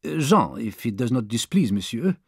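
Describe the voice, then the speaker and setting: A man's voice: medium pitch, sing-song, normal speed, vocal fry, breathy edge, no room sound, studio quality, heavily acted. A man voicing a polite, eager French manservant of thirty, hesitant and deferential in an audiobook. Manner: hesitant, deferential, punctuated with uhs.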